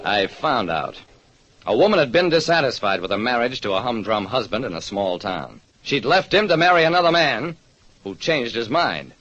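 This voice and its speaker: A man's voice: medium pitch, a bit bright, slight background noise. A man playing a shrewd, wry hardboiled private detective narrating an old radio mystery. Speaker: narrator and lead actor. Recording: muffled old radio recording.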